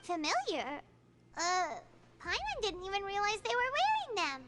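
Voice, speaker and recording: Very high, springy game fairy sidekick, bubbly,, light score behind. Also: female voice, sing-song, normal speed, noisy background. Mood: curious. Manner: bubbly, exclaiming. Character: tiny floating fairy companion, chirpy, chatty. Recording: game audio with faint music.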